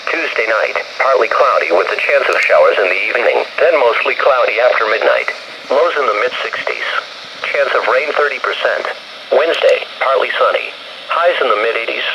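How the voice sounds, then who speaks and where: A man's synthetic announcer voice at a middle pitch reads a scripted weather bulletin through heavy radio noise. The delivery is measured and flat, built from short, separate phrases. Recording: very noisy weather radio broadcast.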